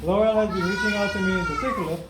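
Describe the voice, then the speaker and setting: An older man with a Trinidadian accent speaks earnestly to his gathered family, leaning on repeated phrases like a sermon. His voice sits high. The room is echoey, with loud noise behind him. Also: steady tone, racing. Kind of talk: impassioned speech at a family gathering. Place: home gathering after a funeral service.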